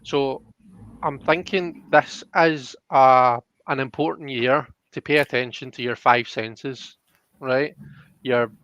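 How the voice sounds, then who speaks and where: Casting: male card-reading host, casual and halting delivery, mid pitch, close dry slightly lo-fi sound. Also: steady tone, fairly bright tone, clear, resonant tone, no echo. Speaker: host and tarot card reader.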